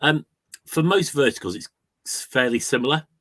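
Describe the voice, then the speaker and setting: A man's voice: mid-range voice, lively intonation, moderate pace, fairly bright tone, no room sound. A man speaking conversationally as a business guest, giving an informal testimonial. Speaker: recruitment agency client guest.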